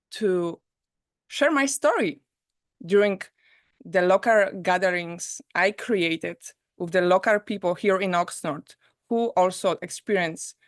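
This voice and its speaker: A woman with a Polish accent who speaks slowly and gently, leaving pauses between her words. She sounds like she is hosting an online premiere from home over a video call. The sound is dry and close, with no room echo. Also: lowish voice. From Poland. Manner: soft, unhurried, gently inviting. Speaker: host. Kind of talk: live online premiere introduction and guided reflection.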